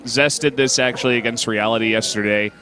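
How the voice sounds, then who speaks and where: A man casting a live esports match, giving relaxed, analytical play-by-play. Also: mid-range voice, brisk, faint music behind. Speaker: esports caster. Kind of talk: live game commentary.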